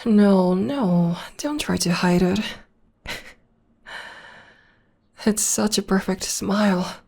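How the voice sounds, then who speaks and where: A young woman voicing a tender, protective witch girlfriend in an ASMR roleplay, speaking softly and often whispering close to the microphone. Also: mid-range voice, slow, gravelly. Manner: soft and soothing, often whispering. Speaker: voice actor.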